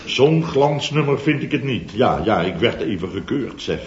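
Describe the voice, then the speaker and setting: A man's voice: medium pitch, expressive, measured pace, echoey room, close to an everyday voice. A middle-aged man playing a blustering, easily embarrassed boss of a building firm in a radio comedy, stammering and indignant. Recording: lo-fi old radio with audience laughter.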